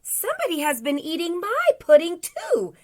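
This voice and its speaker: A woman's voice: very high-pitched, wildly swooping pitch, normal speed, darkish tone, voice pushed up, full character voice. A woman voicing a middle-sized, concerned mother bear in a singsong storybook manner.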